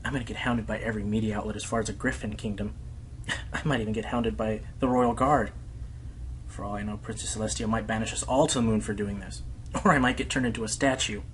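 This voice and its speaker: A man's voice: fairly low pitch, fairly even intonation, fast, slight background noise, natural voice, low-quality recording. A man voicing a nervous, self-doubting young pony broadcaster in an amateur radio play, rambling anxiously. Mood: anxious, fretting. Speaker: voice actor in an audio drama. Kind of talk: fan radio play acting.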